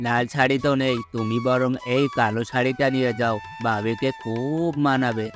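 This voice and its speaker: A man's voice: mid-range voice, steady tone, moderate pace, lightly acted. A man voicing a shrewd village sari seller in a cartoon who grumbles about unpaid debts and coaxes a customer. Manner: complaining, then coaxing a sale. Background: background music.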